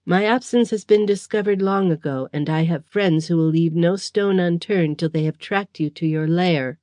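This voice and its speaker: A woman voicing a proud gentleman held captive, speaking formally and defiantly through pain. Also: lowish voice, moderate pace, dry recording, close to an everyday voice. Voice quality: formal, indignant.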